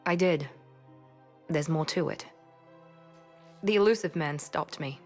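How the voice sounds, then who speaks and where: An actress playing a proud, guarded operative in a video game, with a controlled, earnest delivery. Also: female voice, low-pitched, slow pace, faint music behind. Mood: confessional. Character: guarded, proud female operative with a troubled past.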